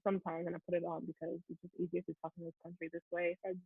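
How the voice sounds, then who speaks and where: A woman's voice: fairly low pitch, rapid-fire, breathy edge, dry recording. Nigerian woman on a tinny line. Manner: animated, restarts often. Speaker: guest, content creator. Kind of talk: casual interview chat.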